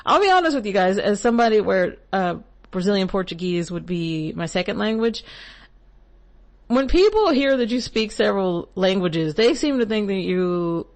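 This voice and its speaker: A woman's voice: medium pitch, expressive, normal speed. A young millennial woman hosting a podcast, giving casual spiritual advice in a chatty, rambling way with frequent asides and laughs. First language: English. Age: young adult. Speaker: podcast host.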